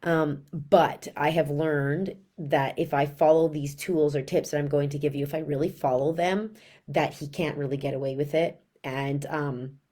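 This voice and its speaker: A woman in her thirties who is losing her voice, so she sounds raspy and hoarse. She speaks low and mellow, chatting frankly to camera as a sleep consultant with parenting tips and lots of asides.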